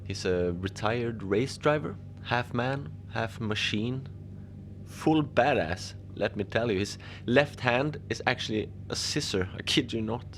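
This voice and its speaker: Man acting gravelly, rambling station manager. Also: medium pitch, expressive, measured pace, fairly bright tone, a little hiss or hum. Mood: admiring storytelling. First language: Norwegian. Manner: hesitant, rambling, chatty, apologetic. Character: lonely, gentle, rambling Antarctic research station facility manager. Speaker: podcast narrator. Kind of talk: cozy fiction podcast monologue, radio transmission.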